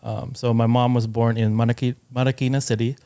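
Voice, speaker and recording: A man from Southern California with an American accent, explaining things informally as a podcast guest in a thoughtful, halting way. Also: medium pitch, level tone, dark tone, clear, resonant tone. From Southern California, United States. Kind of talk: casual conversational explaining.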